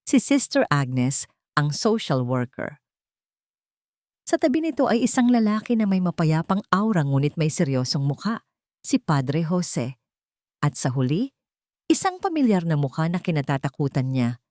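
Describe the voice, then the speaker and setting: Low-voiced woman narrating with swooping, dramatic pitch. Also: big pitch swings, measured pace, pauses often, darkish tone, no room sound, studio quality. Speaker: story narrator.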